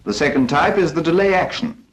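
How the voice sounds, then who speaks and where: A man's voice: on the high side, a little fast. A British man narrating a 1940s instructional film from a script in a formal, precise, instructive manner.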